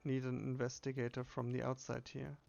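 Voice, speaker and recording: A man's voice: mid-range voice, completely flat delivery, a little fast, creaky, a little mellow, muffled recording, a little hiss or hum. A man casually playing through a game, reading its text aloud and muttering his own asides. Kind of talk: casual game playthrough with read-aloud dialogue.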